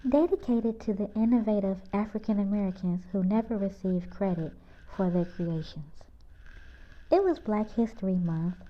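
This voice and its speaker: A woman storyteller reading a children's picture book aloud with expression. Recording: muffled, low-fidelity audio.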